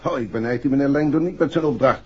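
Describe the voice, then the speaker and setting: An actor playing a courteous, wry police inspector in a 1960s radio play. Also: male voice, medium pitch, quick pace, a little hiss or hum, natural voice. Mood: wry, polite. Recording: muffled old 1960s radio recording.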